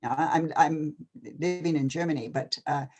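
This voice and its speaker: An older person from Canada, talking casually and hesitantly with many pauses and fillers. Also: mid-range voice, steady tone, a little mellow, faint music behind. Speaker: retired NGO advisor. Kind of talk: casual video-call conversation.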